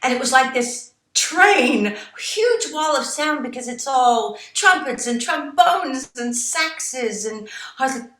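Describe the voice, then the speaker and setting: A middle-aged woman, a jazz singer and songwriter, telling her story as an interview guest in an animated way, quoting herself and others as she goes. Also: sits high, slow, reverberant. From United States. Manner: animated, tells stories with quoted asides and laughs.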